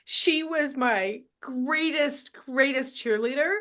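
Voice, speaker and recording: A woman's voice: sits high, slow, fairly bright tone. A woman hosting a book launch on a video call, talking candidly and emotionally with hesitant fillers. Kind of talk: casual group chat.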